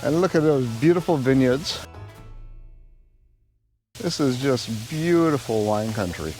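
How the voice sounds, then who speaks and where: A man's voice: mid-range voice, expressive, slow, a little mellow, soft background music. A man from Trinidad hosting a travel vlog, chatting casually and with enthusiasm.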